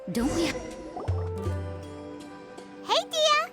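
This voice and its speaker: A woman voicing a tiny, curious, excitable fairy companion in a video game, with a squeaky childlike voice. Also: extremely slow, full character voice. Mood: excited. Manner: refers to self in third person, excitable. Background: music.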